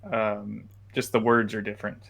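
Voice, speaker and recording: A man's voice: fairly low pitch, level tone, moderate pace, gravelly, fairly bright tone. Young guy, laid-back co-host, hesitant with lots of fillers. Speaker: podcast co-host. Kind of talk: casual chat.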